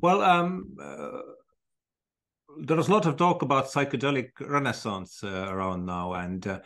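An Estonian-accented man asks questions over a video call from a room of bookshelves. His pitch sits in a middle range. He stumbles and restarts as he frames each question. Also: normal speed, fairly bright tone. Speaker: interviewer. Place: library room lined with bookshelves. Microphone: video call webcam.

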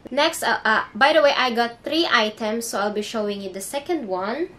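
A woman's voice: fairly high pitch, lively intonation, normal speed, noisy background. A young woman with a Filipino accent, whose first language is Filipino, chatting casually in a haul vlog from her bedroom. She searches for English words and talks in a hesitant, chatty way. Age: young adult. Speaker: vlogger. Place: bedroom indoors.

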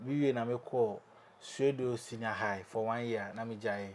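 A man's voice: mid-range voice, fairly even intonation, moderate pace, a crowd in the background. A young man from Ghana with a Ghanaian accent, a guest in a studio chat, boastful and teasing. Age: young adult. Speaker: musician guest. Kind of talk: casual interview chat. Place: small recording studio indoors.

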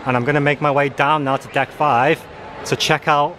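A man's voice: fairly high pitch, level tone, normal speed, pushed and bright. A man giving a casual walking video tour as a travel vlogger, talking as he shows viewers around. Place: walking around a busy cruise ship, indoors and on open decks. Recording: busy, noisy public ship ambience.

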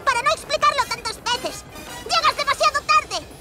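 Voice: very high voice, moderate pace. A performer playing a gloating, tantrum-prone boy mad scientist in a cartoon, theatrical and exclaiming. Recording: loud music behind. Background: loud cartoon score.

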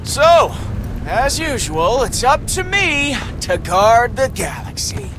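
A man's voice: very high voice, very animated, takes it slowly, noisy background, full character voice. A man voicing a cocky, wisecracking young space outlaw hero in a video game, glib and bantering. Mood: cocky, breezy. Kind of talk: cinematic video game acting.

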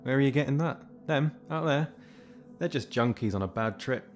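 A man's voice: mid-range voice, animated, moderate pace, faint music behind, natural voice. A young man playing a weary, dryly sarcastic soldier rescuing survivors in an audio drama. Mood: sardonic, insistent. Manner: dry, sarcastic.

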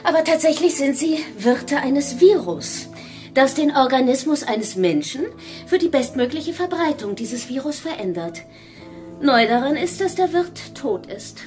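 An actress playing a grave apocalypse survivor in a radio drama, explaining things in a matter-of-fact, emphatic way. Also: female voice, high-pitched, animated, measured pace, a little mellow, faint music behind. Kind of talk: German radio drama acting.

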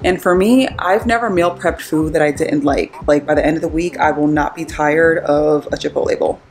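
A woman's voice: low voice, normal speed, darkish tone. A young American woman chatting casually to viewers as a vlog host, explaining things as she goes. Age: young adult. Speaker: fitness vlogger and host. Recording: roomy echo, music behind.

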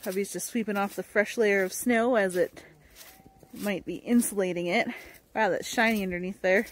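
A woman's voice: mid-range voice, steady tone, moderate pace. A woman narrating casually to a handheld camera outdoors in a snowy backyard. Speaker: vlogger filming her family. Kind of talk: casual chat.

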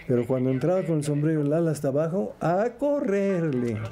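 An older Mexican man reminisces in an interview, acting out dialogue like a practiced radio announcer. His voice is fairly high yet soft and dark, with faint music behind. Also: lively intonation, a little fast. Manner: theatrical, acting out remembered dialogue.

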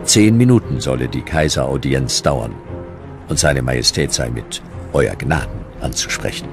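A man's voice: extremely low pitch, lively intonation, normal speed. A man narrating a historical documentary from a script. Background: music.

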